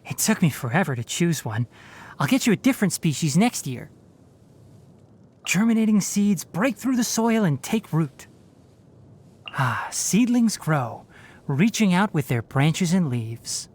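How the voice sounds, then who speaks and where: Lively, brisk young fox-eared ranger boy. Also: on the low side, husky, full character voice. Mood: friendly, informative. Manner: articulate, matter-of-fact, dryly scolding. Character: fox-eared young male forest ranger, brisk, dryly fussy, caring. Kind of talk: video game character voicelines.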